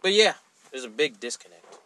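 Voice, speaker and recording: A man's voice: fairly high pitch, expressive, fairly quick, sharp tone. A man co-hosting a podcast, chatting casually and exclaiming often. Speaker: podcast co-host. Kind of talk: casual chat.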